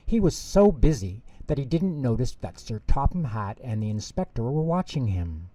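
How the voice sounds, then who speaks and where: A man reading a children's bedtime story aloud as an expressive storyteller. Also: mid-range voice, moderate pace, no echo, rough recording.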